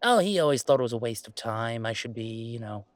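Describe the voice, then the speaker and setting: A man playing a laid-back, self-deprecating young gamer in a roleplay audio drama, chatting in a halting, playfully exasperated way. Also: medium pitch, lively intonation, no echo, lightly acted. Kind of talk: roleplay audio drama, casual one-sided conversation.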